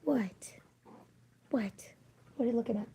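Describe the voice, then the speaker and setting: A woman streaming live, chatting casually in a rambling, giggly way with lots of fillers, her voice hoarse as she loses it. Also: fairly high pitch, unhurried, warm tone. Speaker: livestreamer.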